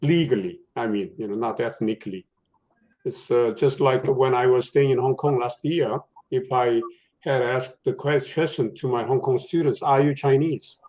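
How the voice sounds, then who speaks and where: A professor on a book-talk panel, a man who thinks aloud in a halting way, full of fillers and restarts. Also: medium pitch, darkish tone, low-quality recording. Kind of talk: panel discussion, academic.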